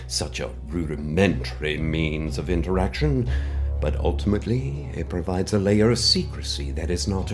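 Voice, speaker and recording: A man playing a pompous, mysterious benefactor who delivers a wordy, theatrical comic monologue with dramatic pauses. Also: low-pitched, full character voice. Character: mysterious pompous anonymous benefactor, male, speaking on cassette.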